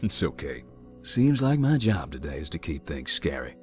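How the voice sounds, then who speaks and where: A very low male voice plays a giant, laid-back man in a horror visual novel. His delivery is folksy and dry, with lively swings in intonation. Music plays behind him. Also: normal speed. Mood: wry, cautious. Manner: deadpan, folksy, wry. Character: giant, easygoing, wry man exploring a haunted hospital.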